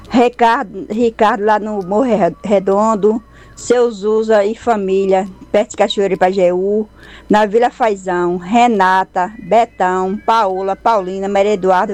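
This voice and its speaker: A woman's voice: medium pitch, a little mellow. Woman caller listing song dedications. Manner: reads long list of dedications. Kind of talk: phone call to radio.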